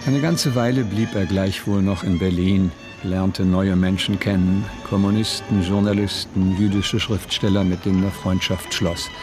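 A man with a fairly low voice narrates a literary radio drama. His delivery is measured and serious, and music plays clearly underneath. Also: steady tone.

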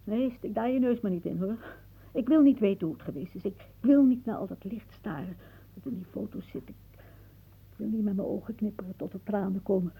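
An actress playing a whimsical, dreamy elderly aunt in a radio play, musing theatrically and drifting into hushed asides. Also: female voice, medium pitch, brisk, vocal fry, slightly rough, poor audio. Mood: agitated, brooding.

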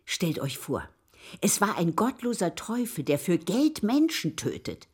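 Eine Schauspielerin spielt in einem deutschen Hörspiel eine fromme, abergläubische Magd, die eifernd, vorwurfsvoll und in altertümlich förmlicher Anrede spricht. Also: female voice, lowish voice, big pitch swings, measured pace, a little mellow, breathy edge, full character voice. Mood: indignant. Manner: fervent, reverent, formal archaic address. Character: pious, superstitious Italian maidservant, fervent and reproachful. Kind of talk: German radio play acting.

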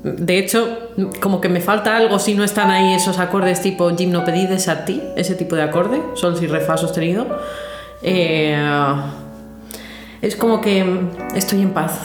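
Low-pitched Spanish woman in a roomy space. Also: steady tone, moderate pace. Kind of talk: casual reflective conversation. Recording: roomy echo with music underneath. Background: music.

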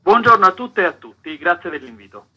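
A man's voice: sits high, animated, fairly quick, pushed and bright, husky. A man, an author interviewed as a guest, explaining his work articulately and with emphatic repetition.

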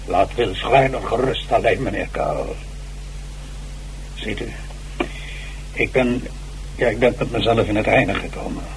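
An actor playing an ageing, self-dramatising stage actor jailed for murder, halting and theatrical, in a radio drama. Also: male voice, fairly low pitch, low-quality recording. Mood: resigned, grave. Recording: hissy old radio recording.

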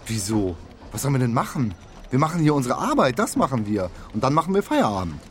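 Man acting a defensive office worker, mid-pitched, animated, hesitant, colloquial, radio crime drama, background noise. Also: fast talker, lightly acted. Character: nervous, evasive male call centre worker, defensive.

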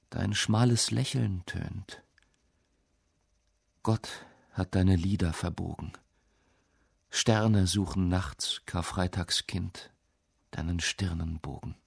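A man's voice: low voice, creaky, husky, no echo, clean studio sound. A man reciting scripted quotations and poems for a radio essay.

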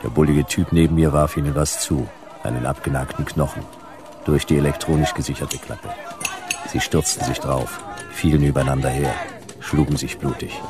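An actor playing a laconic, world-weary private detective in a dystopian radio drama, speaking dryly with a gravelly voice. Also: male voice, extremely low pitch, steady tone, normal speed, a crowd in the background. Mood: grim, weary. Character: hard-boiled, sardonic male private detective in dystopian future. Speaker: narrator and lead character. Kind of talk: noir radio drama narration. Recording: music behind the voice.

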